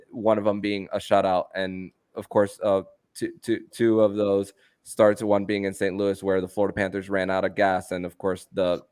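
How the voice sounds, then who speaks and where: A man hosting a sports podcast in a conversational style, halting often and repeating words as he goes. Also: low voice, a little mellow, smooth.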